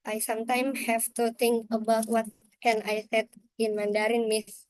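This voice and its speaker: A young woman student, answering her teacher slowly and hesitantly in simple learner English on a video call. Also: a little high, flat delivery, a little hiss or hum. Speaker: student presenter. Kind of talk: answering questions in class.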